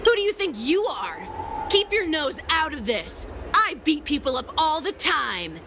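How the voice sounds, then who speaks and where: A woman's voice: high-pitched, very animated, a little slow, noisy background, heavily acted. A woman voicing a feisty child bully, one of a gang, in a video game. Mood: threatening. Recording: loud music behind the voice.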